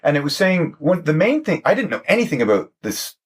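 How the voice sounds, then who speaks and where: A man's voice: fairly high pitch, sing-song, brisk, slightly rough. A man hosting a casual interview, encouraging his guest with frequent short replies and questions. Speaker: podcast host.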